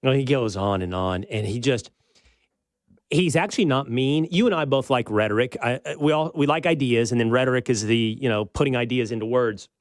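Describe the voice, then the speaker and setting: A man with an American accent hosting a talk show, giving conversational, emphatic political commentary with pauses for effect. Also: medium pitch, dry recording, studio quality. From United States.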